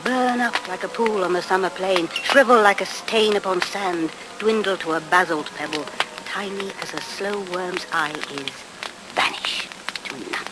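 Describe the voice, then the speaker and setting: A woman playing a mysterious chanter of riddles and spells in a radio play, with a deliberate, incantatory delivery. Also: fairly low pitch, lightly acted. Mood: menacing incantation. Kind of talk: 1970s radio play verse recital. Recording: very noisy old radio play recording.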